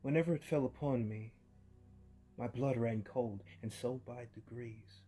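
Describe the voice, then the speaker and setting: A man reads a horror tale slowly and deliberately, in a dark, mellow voice. He swings from near-whispers to sudden loud outbursts, with an unhinged edge. Faint violin music plays behind him. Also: medium pitch, husky. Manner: unhinged, with creepy laughs. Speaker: narrator. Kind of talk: dramatic horror story reading.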